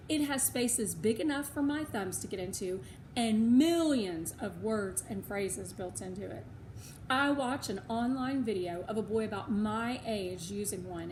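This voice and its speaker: A woman with an American accent reading a storybook aloud for schoolchildren in an animated, emphatic way. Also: on the high side, slight background noise. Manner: animated, emphatic, with occasional asides. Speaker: read-aloud narrator for schoolchildren. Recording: roomy, echoing indoor sound.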